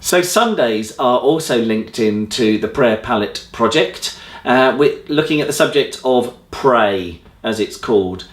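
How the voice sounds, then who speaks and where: A man giving informal, enthusiastic church announcements as a presenter. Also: mid-range voice. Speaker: church leader presenting a newsletter. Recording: roomy indoor echo.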